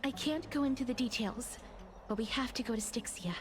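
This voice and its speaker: Gentle cursed heroine acted by a woman, formal and hesitant, fairly high voice, music underneath. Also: a little fast, close to an everyday voice. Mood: solemn. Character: gentle, solemn, long-lived cursed young-sounding woman, reserved. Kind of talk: video game voice acting. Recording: game audio with clear background music.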